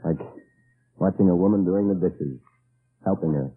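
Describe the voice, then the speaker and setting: An actor playing a weary, desperate Allied agent in an old-time radio drama, narrating in a tense, confessional manner. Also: male voice, low voice, moderate pace, breathy edge. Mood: weary, reflective. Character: weary, desperate male Allied agent behind enemy lines. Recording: tinny old radio recording, background music.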